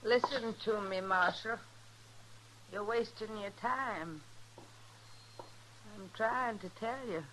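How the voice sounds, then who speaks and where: An actress playing a middle-aged, long-abused rural wife in a radio western, plain and quietly resolute, with a rural American accent. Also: female voice, medium pitch. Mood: grimly resolute. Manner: plain, unhurried, folksy. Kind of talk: 1950s radio western acting. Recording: noisy old radio drama recording.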